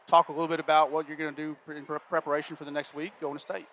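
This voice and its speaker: Easygoing color commentator, slightly high. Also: male voice, little pitch movement, very fast, fairly bright tone, slight background noise. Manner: easygoing, filler-heavy delivery. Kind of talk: casual sports commentary.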